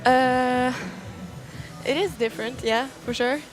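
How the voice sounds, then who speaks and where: Young Norwegian-accented woman, slightly high voice. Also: fairly even intonation, takes it slowly, rough recording. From Norway. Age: young adult. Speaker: athlete guest co-commentator. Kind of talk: casual interview chat. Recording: music behind the voice. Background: music.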